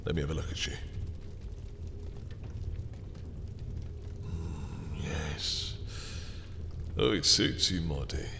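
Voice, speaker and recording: A man playing a suave, possessive vampire lord in an intimate roleplay audio, speaking with mock courtesy, taunting seduction and quiet menace. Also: extremely low pitch, unhurried, gravelly, in a large hall, a little hiss or hum, heavily acted. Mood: silky admiring.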